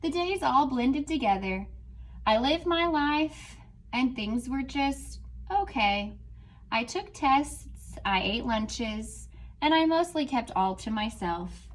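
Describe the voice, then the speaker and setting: Woman teacher, read-aloud storytime, slow and animated delivery. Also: high-pitched. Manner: slow, expressive storybook reading to children.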